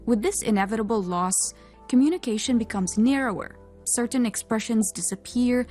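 A woman's voice: on the high side, a little mellow. A woman from Oman co-hosting a podcast, speaking in an articulate, fluent, composed way. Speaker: podcast co-host. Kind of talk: conversational podcast discussion.

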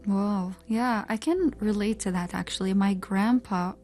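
A woman's voice: mid-range voice, unhurried, a little mellow, soft background music. Articulate woman podcast host from Oman. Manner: articulate, fluent, composed. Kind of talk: conversational podcast discussion.

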